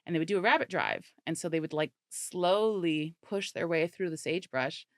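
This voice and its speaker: Brief: woman comedian guest, low voice, animated and chatty, dry close sound. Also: moderate pace, dry recording. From California Bay Area. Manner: animated, chatty, laughs often. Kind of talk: casual interview chat.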